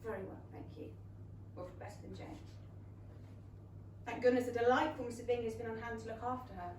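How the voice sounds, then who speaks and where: A woman's voice: a little high, fairly quick, natural voice. Actress as a defiant Englishwoman, very echoey. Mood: dry, scornful. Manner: pointed, dry retorts. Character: sharp-witted, defiant young Regency gentlewoman. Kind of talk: period comedy stage acting. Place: small London theatre. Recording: very echoey theatre, far mic, faint noise.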